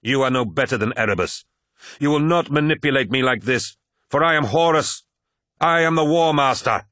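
A man's voice: on the low side, expressive, normal speed, fairly bright tone, dry recording, clean studio sound. A man voicing a proud, commanding warlord in an audiobook, defiant and suspicious, with an imperious, emphatic delivery.

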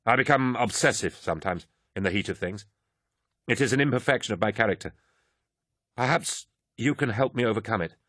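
A man's voice: lowish voice, fairly quick, a bit bright, dry recording, clean studio sound. A man voicing a proud young warrior with an earnest, solemn and slightly childlike tone.

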